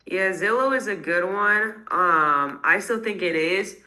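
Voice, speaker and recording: A woman answering callers' real estate questions in a live call, casual and quick with many fillers. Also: mid-range voice, sharp tone.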